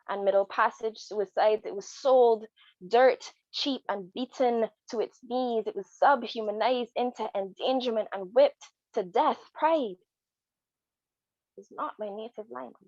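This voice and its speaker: A woman's voice: on the high side, unhurried, a little mellow, dry recording. A young woman performs spoken word poetry with a rhythmic, emphatic delivery that returns again and again to a refrain. Her voice comes over a video call, dry and slightly muffled. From Barbados. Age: young adult.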